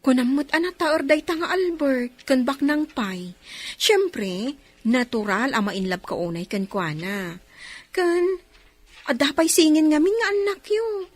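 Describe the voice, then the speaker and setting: A woman with an Ilocano accent playing a chatty, sassy household helper in a radio drama, expressive and full of interjections. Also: high-pitched, measured pace, no echo. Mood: curious, cautioning.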